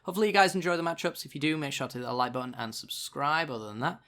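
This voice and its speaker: A man's voice: a little high, expressive, rapid-fire, pushed and bright. A man giving casual, chatty card game commentary with dry sarcasm, still getting over a tickly sore throat. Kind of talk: gameplay commentary.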